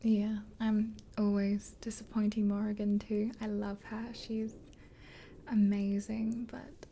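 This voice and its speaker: A woman in her twenties whispering gently and breathily in an ASMR roleplay, close to the mic. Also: mid-range voice, little pitch movement, gravelly, a little hiss or hum. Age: 20s. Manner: gentle, unhurried. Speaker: ASMR creator playing a makeup artist. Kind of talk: ASMR roleplay, soft rambling chat. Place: small indoor room. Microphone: close mic, face near camera.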